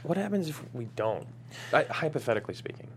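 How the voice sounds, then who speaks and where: A man acting low-key, hesitant male characters from the gaming world in a script table read, understated and natural. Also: big pitch swings, fast talker. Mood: mild. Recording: faint background noise.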